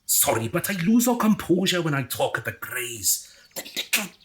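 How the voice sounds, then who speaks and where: A performer playing a haughty, excitable, condescending alien in human guise in a comic radio drama. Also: mid-range voice, normal speed, a little hiss or hum, natural voice. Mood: disgusted. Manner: pompous, exasperated, condescending.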